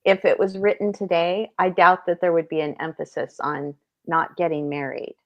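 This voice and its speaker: A woman with a distinctly low-pitched voice chats as a panelist about books. Her delivery is casual and matter-of-fact, with firm opinions. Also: flat delivery, moderate pace, highs cut off. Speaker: book discussion panelist. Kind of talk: casual book discussion.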